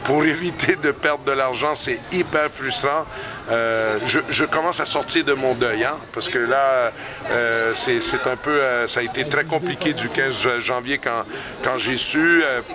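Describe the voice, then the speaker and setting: A middle-aged hockey coach, answering post-game interview questions slowly, with many pauses and restarts. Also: male voice, mid-range voice. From Canada. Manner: hesitant, many fillers and restarts. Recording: loud crowd chatter behind.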